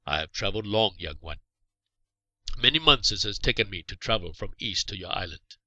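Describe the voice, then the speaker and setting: A man voicing a stern but merciful Saracen warrior in a storybook, speaking in a measured, formal, commanding way. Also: fairly low pitch, animated, bright, forceful tone, no room sound. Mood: grave, kindly.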